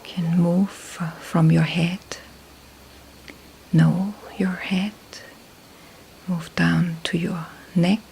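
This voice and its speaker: Slow, hushed woman guiding meditation, creaky voice. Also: fairly low pitch, a little hiss or hum, poor audio. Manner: calm, unhurried, with long pauses between short phrases. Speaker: meditation teacher. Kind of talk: slow guided meditation instruction.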